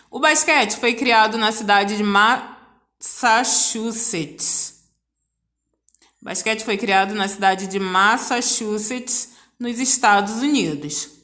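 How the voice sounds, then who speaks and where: A Brazilian woman teaches a school lesson in a high-pitched voice. She speaks slowly and didactically, restating key points for her students. The sound is echoey and roomy. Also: fairly bright tone. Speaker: physical education teacher.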